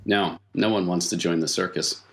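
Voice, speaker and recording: A man speaking as game master in an improvised tabletop roleplaying session, with measured, halting phrasing. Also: low-pitched, steady tone, creaky, slight background noise. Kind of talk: improvised tabletop roleplay narration and in-character dialogue.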